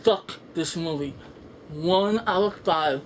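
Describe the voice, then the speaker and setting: A woman's voice: lowish voice, takes it slowly. Female reviewer for an improvised film rant: fed up, blunt and swearing freely, with emphatic delivery and some background noise. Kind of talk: unscripted ranting movie review.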